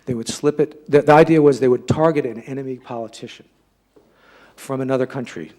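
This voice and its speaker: A man with a slightly husky voice giving an informal public lecture, halting as he repeats and rephrases himself. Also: medium pitch, warm tone. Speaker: lecturer giving a talk and taking audience questions.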